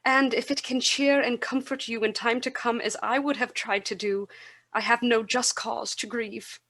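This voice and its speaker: An actress playing a sorrowful, gentle young Victorian woman in a radio drama. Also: fairly high pitch, fairly even intonation, normal speed. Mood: sorrowful reproach. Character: Belle, sorrowful gentle young woman releasing her betrothed.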